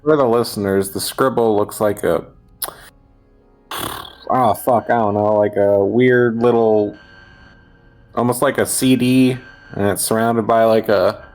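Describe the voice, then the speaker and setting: A man's voice: fairly low pitch, steady tone, measured pace, a little mellow, faint music behind. A man playing a tabletop roleplaying game, chatting casually and loosely, searching for words and swearing now and then.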